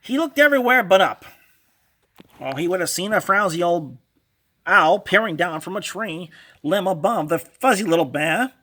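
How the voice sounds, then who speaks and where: A man narrating a children's storybook read-along in a dramatic, expressive, animated way. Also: high voice, moderate pace.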